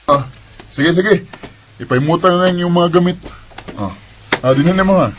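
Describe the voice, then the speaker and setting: Earnest, higher-voiced caring big brother. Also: male voice, normal speed, fairly bright tone, highs cut off, soft background music. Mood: reassuring. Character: caring elder brother, poor hardworking market porter. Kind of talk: Bisaya radio drama acting.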